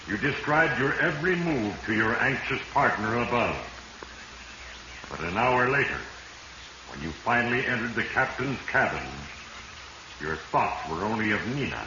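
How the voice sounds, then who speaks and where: A male actor playing an ominous voice of fate, narrating a 1940s radio drama solemnly in an American accent. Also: lowish voice. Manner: solemn, ominous second-person storytelling. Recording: muffled, noisy 1940s radio recording, echoey.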